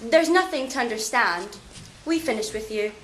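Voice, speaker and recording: A woman's voice: a little high, expressive, moderate pace, a bit bright, a little hiss or hum. A woman playing a haughty boss who coldly and mockingly dismisses an employee. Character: cold, haughty female boss firing an employee. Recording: roomy echo.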